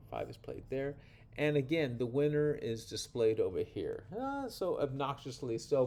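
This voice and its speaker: A man's voice: medium pitch, a little mellow. A man presenting a card game tutorial as its instructor, informal and folksy, with playful exclamations and rambling asides. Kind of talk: informal tutorial narration walking through card hands.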